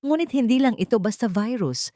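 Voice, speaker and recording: A woman's voice: on the high side, animated, brisk, mellow, no echo, studio quality. A woman reading a scripted, dramatic first-person story as an audio drama narrator.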